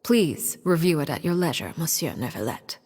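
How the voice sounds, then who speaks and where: Poised icy noblewoman diplomat in a game, lowish voice, formal and measured, music behind. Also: lively intonation, darkish tone, long reverb tail. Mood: formal, composed. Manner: formal, measured, veiled threats. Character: poised, coolly menacing aristocratic female diplomat. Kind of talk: video game voice acting.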